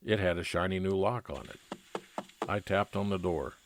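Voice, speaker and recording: A man's voice: low voice, normal speed, noisy background, natural voice. A man playing a private detective who narrates his own case matter-of-factly in an old-time radio drama style.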